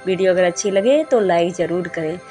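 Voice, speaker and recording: A hoarse woman who is a little unwell, hosting a devotional channel and chatting her way through ritual steps, repeating herself and calling viewers her friends. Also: on the low side, a little fast, mellow, soft background music. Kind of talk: casual spoken ritual guide.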